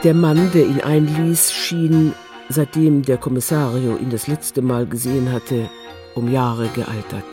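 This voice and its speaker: A male narrator reads a scripted radio drama. He has a mid-range voice and a measured, literary delivery, with prominent music behind him. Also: mid-range voice, fairly even intonation.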